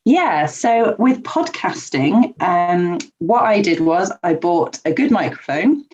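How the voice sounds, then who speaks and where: A woman's voice: on the low side, very animated, takes it slowly. Earnest woman guest on a muffled line. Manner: warm, earnest, frequent laughs and ums. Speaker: podcast guest, life coach. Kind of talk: casual interview answers. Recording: dull lo-fi remote audio.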